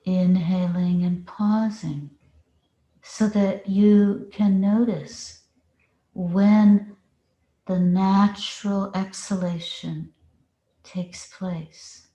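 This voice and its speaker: A woman meditation teacher leading a guided meditation with deliberate phrasing and long pauses. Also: mid-range voice, steady tone, mellow. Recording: roomy, echoing space.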